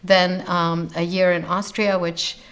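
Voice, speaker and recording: Older woman, sixties, German first language, lowish voice, measured and reflective, frequent ums. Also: flat delivery, a bit bright. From Romania. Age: 60s. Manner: thoughtful, frequent ums and uhs, lingers on words. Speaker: interviewee, scholar. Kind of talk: reflective personal account.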